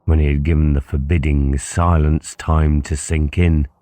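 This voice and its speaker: A man's voice: very deep voice, little pitch movement, a little slow, mellow, dry recording. A man narrating a novel as an audiobook, reading scripted literary prose.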